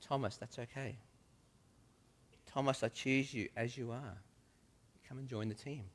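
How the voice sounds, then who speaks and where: A man voicing a calm, gentle man who calls followers to join him, speaking softly and patiently in a dramatic monologue. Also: medium pitch, normal speed, in a large hall, close to an everyday voice. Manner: soft, patient, reassuring. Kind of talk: dramatic first-person monologue.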